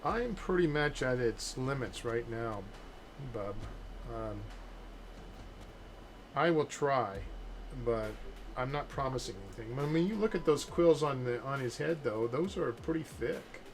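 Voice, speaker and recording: A man's voice: mid-range voice, a little slow, noisy background. A man sculpting on a live stream, chatting casually with viewers and thinking aloud as he works. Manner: relaxed, joking, thinking aloud while sculpting. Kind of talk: casual chat while working.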